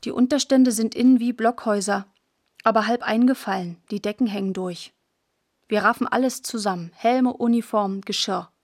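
A postwar schoolgirl narrating a radio play. Her voice is fairly high and recorded close and dry. She tells things plainly and vividly, in short matter-of-fact phrases. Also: normal speed, no echo. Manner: plain, clipped, vivid storytelling. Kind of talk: first-person scripted radio drama narration.

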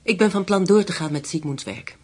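An actress in a Dutch radio play voicing a composed, resolute widow with political convictions. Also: female voice, lowish voice, a little fast, darkish tone, husky. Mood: defiant.

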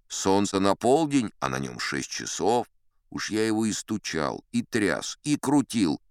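Low pompous old sea captain telling tall tales, theatrical audiobook, very animated intonation, rambles like a know-it-all. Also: male voice, dry recording, clean studio sound, heavily acted. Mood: wry, perplexed. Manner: digressive, self-assured, mock-scholarly raconteur.

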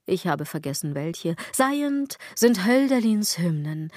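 A woman's voice: on the low side, very animated, slow, a little mellow, dry recording, studio quality. An actress playing a sardonic, rambling woman in a literary radio drama monologue, ironic and insistent, often correcting herself. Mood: insistent, resigned. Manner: ironic, self-correcting, insistent rhetorical delivery. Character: sardonic, rambling woman brooding on fashion and clothes.

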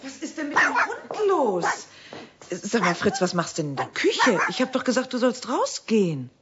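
A woman's voice: a little high, wildly swooping pitch, normal speed, fairly bright tone, slight background noise. A woman playing a strict, exasperated mother, scolding and sceptical, in a children's radio play. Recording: dull, lo-fi old radio recording.

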